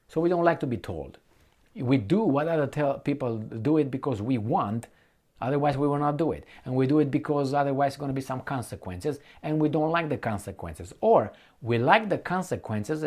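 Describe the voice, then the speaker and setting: Man, mid-pitched voice, opinionated unscripted monologue, halting delivery, repetitive, colloquial, rhetorical questions. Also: animated, a little mellow. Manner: colloquial, blunt. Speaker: political commentator.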